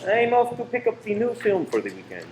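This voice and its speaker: Guy playing grumbly shifty husband, radio play, pitch all over, echoey. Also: huge pitch swings, brisk, darkish tone. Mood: casual. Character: Verloc, evasive, grumbling husband and cinema owner. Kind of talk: amateur radio play acting. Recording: echoey room with faint noise.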